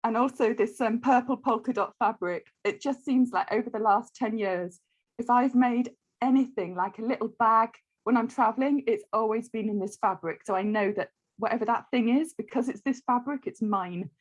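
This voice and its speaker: Woman participant on a video call, slightly high voice, chatty and a bit apologetic. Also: moderate pace. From United Kingdom. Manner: hesitant, apologetic, lots of ums. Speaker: quilt-along participant. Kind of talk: casual chat on a video call.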